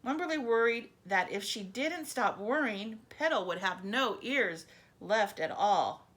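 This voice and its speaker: A woman's voice: on the high side, a little slow. A woman reads a children's picture book expressively, breaking off for chatty asides to her young listeners. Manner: animated storyteller, chatty asides addressed to children.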